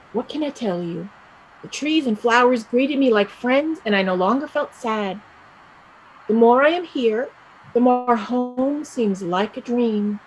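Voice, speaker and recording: A woman playing a restless young Irish village woman set on emigrating, speaking emphatically and deliberately in a stage play reading. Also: fairly high pitch, a little hiss or hum, low-quality recording.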